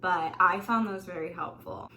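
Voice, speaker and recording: A woman's voice: lowish voice, moderate pace, a little hiss or hum, rough recording. A young woman giving casual, self-mocking tips straight to camera in her bedroom. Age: young adult. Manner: chatty, animated, self-mocking, drops asides and laughs. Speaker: vlogger. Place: small bedroom, indoors.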